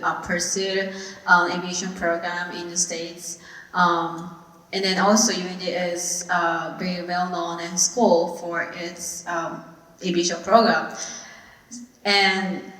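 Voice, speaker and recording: A woman's voice: lowish voice, bright, forceful tone. Young woman, hesitant. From Japan. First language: Japanese. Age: young adult. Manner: hesitant, many fillers and restarts. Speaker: student panelist. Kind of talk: panel discussion. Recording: distant mic in echoey hall.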